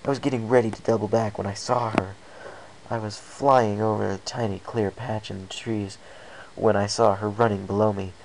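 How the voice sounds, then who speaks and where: Low, gravelly male story reader. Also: fairly even intonation, normal speed, low-quality recording. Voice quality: low, creaky. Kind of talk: scripted first-person story narration. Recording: faint background hiss.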